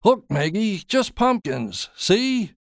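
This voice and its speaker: A man's voice: sits high, big pitch swings, slow pace, no room sound, studio quality, voice pushed up, exaggerated voice. A man voicing a teasing, folksy older husband and grandfather in an audio story.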